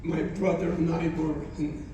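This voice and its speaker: A man in his nineties, not a native English speaker, gives a halting testimony to students and often repeats his phrases. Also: a little high, completely flat delivery, dark tone, slight background noise. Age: 90s. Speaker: Holocaust survivor speaker. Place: college hall. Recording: echoey hall from far off.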